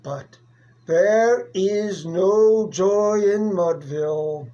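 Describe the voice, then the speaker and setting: Poetry recitation, mid-range voice, unhurried, deliberate, theatrical, dramatic pauses. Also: medium pitch, warm tone, dull audio. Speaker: poetry reader.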